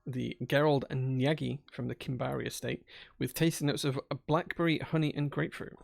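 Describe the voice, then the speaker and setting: A man's voice: medium pitch, fairly even intonation, brisk, gravelly, dry recording, soft background music. A man with a Yorkshire accent chatting casually on a live stream, reading out viewer messages with a jokey, rambling manner. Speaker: streamer building keyboards.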